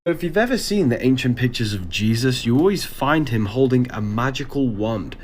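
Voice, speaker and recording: Faint noise sits behind a man's medium-pitched voice. He teaches in an esoteric, instructional style, setting out short declarative statements like a lesson. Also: animated, normal speed. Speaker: spiritual teacher.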